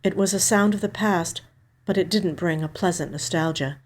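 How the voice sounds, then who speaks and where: Lowish-voiced woman narrating a mystery. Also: normal speed. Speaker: actor reading a short story. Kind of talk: scripted first-person mystery narration.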